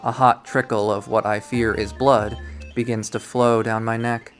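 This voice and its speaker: A man's voice: on the low side, little pitch movement, measured pace, faint music behind. A man reading a visual novel aloud as dramatic first-person story narration.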